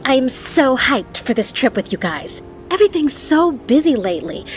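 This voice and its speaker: A brash, energetic young female bouncer from an audio drama, acted by a woman. Her voice sits fairly high and she talks in loose, rough-edged slang. Music plays clearly behind her. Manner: casual, rough around the edges, slangy. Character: brash peppy young woman, tough bar bouncer and waitress.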